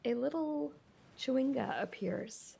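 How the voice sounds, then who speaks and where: A woman narrating a tabletop game as game master, describing scenes in a halting way with many fillers. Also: high-pitched, animated, vocal fry, dark tone, breathy edge.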